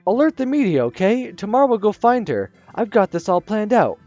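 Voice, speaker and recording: A man voicing an excitable, dramatic teenage girl from a visual novel, whining and gushing. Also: high-pitched, a little fast, dry recording, voice pushed up. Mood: whiny gushing. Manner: loud, whiny, gushing. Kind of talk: reading a visual novel aloud. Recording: slightly muffled with soft music.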